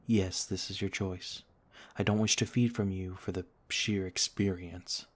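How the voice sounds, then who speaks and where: Man as a low, even-toned noble vampire. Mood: calm, confiding. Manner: gentle, formal, measured. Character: courteous, caring noble vampire lord, male, calm protector. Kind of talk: ASMR roleplay acting.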